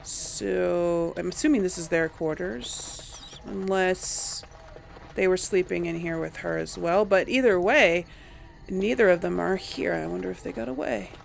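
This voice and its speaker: A woman's voice: fairly low pitch, unhurried, creaky, slight background noise. A woman giving casual let's play commentary on a video game, thinking aloud as she plays. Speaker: gamer narrating a role-play playthrough.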